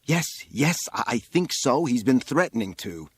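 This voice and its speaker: A man voicing an anguished, helpless rabbi in an audiobook story, with halting, drawn-out pleading. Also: fairly high pitch, animated, fairly bright tone, breathy edge, no echo, voice pushed up, heavily acted. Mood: flustered.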